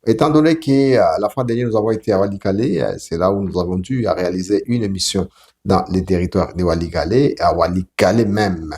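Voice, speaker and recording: A man with a Congolese French accent hosting a talk show interview in a halting, deliberate way. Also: deep voice, a little mellow. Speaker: TV show host.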